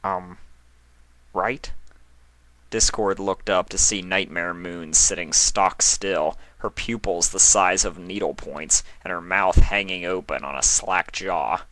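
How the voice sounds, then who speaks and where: A man narrates a story from a script. His voice is fairly low yet bright and forceful, and the sound is very dry, with hardly any room. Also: unhurried, few pauses, dry recording, rough recording.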